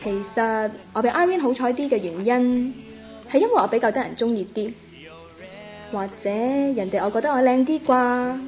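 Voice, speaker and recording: A woman with a Hong Kong Cantonese accent playing a chatty young female university student in a radio drama, casual and expressive. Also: on the high side, moderate pace. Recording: dull lo-fi audio with music.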